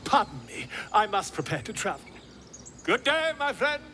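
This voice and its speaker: High, theatrical, pompous scholar. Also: male voice, sing-song, normal speed, pushed and bright, slight background noise, heavily acted. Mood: breezy. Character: pompous, excitable middle-aged male scholar and adventurer. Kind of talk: video game character acting.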